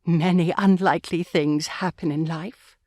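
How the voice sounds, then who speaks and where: A woman playing a kindly, pious old grandmother in an audiobook drama, speaking gently, earnestly and with measured care. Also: fairly low pitch, fairly even intonation, no room sound, clean studio sound. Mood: gracious, pleased. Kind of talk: full-cast audiobook acting.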